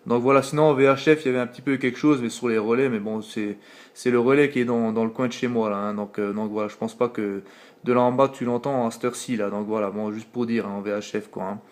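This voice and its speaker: A man's voice: medium pitch, steady tone, quick pace. A man with a French accent on a late-night CB radio contact, rambling casually with many fillers, talking into his radio mic at home. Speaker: CB radio operator. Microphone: radio operator's microphone, filmed on phone.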